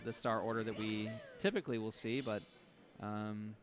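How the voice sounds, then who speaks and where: A man doing live commentary on a speedrun, relaxed and chatty, explaining tricks and laughing often. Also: on the low side, normal speed. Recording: music playing behind.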